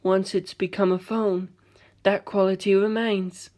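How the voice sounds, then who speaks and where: A calm, composed young male leader who speaks softly and with slow precision, as a woman acts him out. He never raises his voice. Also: fairly high pitch, voice pushed up, natural voice. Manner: soft, slow, measured.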